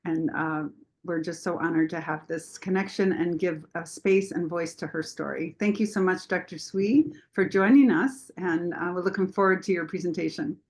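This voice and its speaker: Woman, host, formal introduction, slightly low voice, small slips. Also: normal speed, darkish tone. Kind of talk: read introduction.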